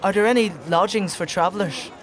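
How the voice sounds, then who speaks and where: High-voiced, grumbling squire played by a man. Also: a little fast, a faint crowd, natural voice. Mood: curious. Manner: informal, teasing, complaining. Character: young male squire, grumbling, good-natured, easily flustered. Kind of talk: dark fantasy audio drama acting.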